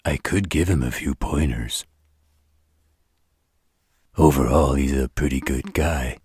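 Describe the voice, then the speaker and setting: A man playing an arrogant, flirtatious vampire in a roleplay audio drama, with a husky voice and a smug, taunting delivery. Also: darkish tone, no echo, studio quality, heavily acted. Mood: smug, teasing. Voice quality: very deep, husky, rough. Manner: smug, taunting, slow drawl. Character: arrogant, teasing, flirtatious adult male vampire. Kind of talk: roleplay audio drama monologue addressed to the listener.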